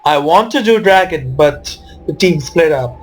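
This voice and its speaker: A man's voice: a little high, lively intonation, unhurried, slight background noise, low-quality recording. A man giving informal, wry commentary on his own video game match, voicing his reactions as he goes.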